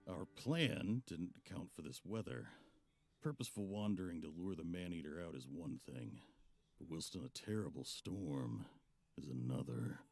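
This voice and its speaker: A man playing a weary, cautious monster hunter in a visual novel, with a gravelly, husky voice. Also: low-pitched, expressive, normal speed, a little mellow, dry recording, faint music behind, exaggerated voice. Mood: dry, reasoning. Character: weary, cautious seasoned male monster hunter, player's lover.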